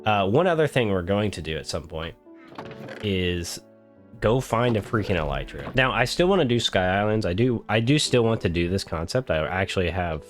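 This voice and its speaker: A congested man with a low voice talks through his building session in an easygoing, rambling way, like a host catching up with regulars. Faint game music plays softly behind him. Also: very animated, normal speed. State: congested from a cold. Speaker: gaming channel host. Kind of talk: casual gameplay commentary.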